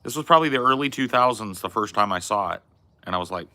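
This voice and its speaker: A man co-hosting a podcast, telling stories in a rambling, laid-back way with a loud, resonant voice. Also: on the low side, lively intonation, fast talker, no echo. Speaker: podcast co-host. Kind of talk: casual chat.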